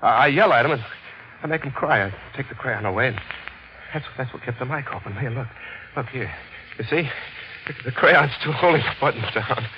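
An actor playing a nervous working-class cab driver in a 1940s radio drama, colloquial and hesitant. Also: male voice, medium pitch, a little fast, close to an everyday voice. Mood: shaken, earnest. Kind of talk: 1940s radio drama acting with first-person narration. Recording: dull, band-limited old radio recording.

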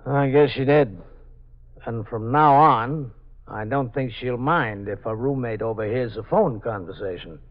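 An actor playing a steady, authoritative New York police captain in 1950s radio drama style. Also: male voice, mid-range voice, a little slow, natural voice. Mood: reflective, wry. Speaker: police captain and closing narrator. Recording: dull, band-limited 1950s radio recording.

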